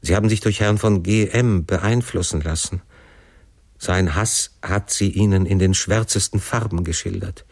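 A man's voice: low-pitched, moderate pace, creaky, a little mellow, no echo. A man voicing a passionate, desperate young son who pleads fervently and emotionally in an audiobook story. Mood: fervent pleading.

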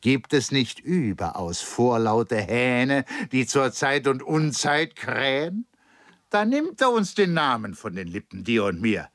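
A man's voice: medium pitch, sing-song, slow, a bit bright, no echo, studio quality, voice pushed up, full character voice. A man voicing a domineering male stage magician who coaxes and presses in a theatrical, insistent way, read as part of an audiobook.

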